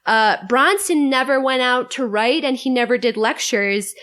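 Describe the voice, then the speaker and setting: High-pitched woman telling a story. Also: normal speed, a bit bright. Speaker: podcast guest. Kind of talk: semi-scripted storytelling in a conversational podcast.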